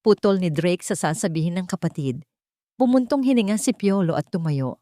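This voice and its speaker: A woman's voice: on the low side, expressive, normal speed, dark tone, no echo, clean studio sound. A woman narrating a scripted romantic drama as a storyteller, in a dramatic style.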